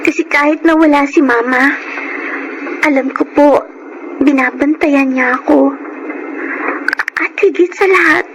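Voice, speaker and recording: Very high-voiced young Filipino orphan girl. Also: measured pace, pauses often. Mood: humble, resolute. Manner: earnest, polite, emotional. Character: orphaned young girl craft vendor, humble, tearful, hopeful. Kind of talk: Filipino radio drama acting. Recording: noisy radio drama recording.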